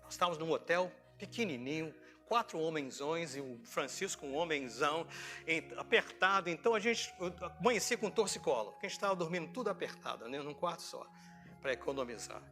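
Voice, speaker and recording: Man, Brazilian accent, bright forceful voice, slightly high pitch, informal sermon, conversational storytelling, music behind. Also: expressive, a little fast. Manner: conversational storytelling, acting out dialogue, asking rhetorical questions. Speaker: pastor preaching. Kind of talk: informal sermon with personal anecdotes.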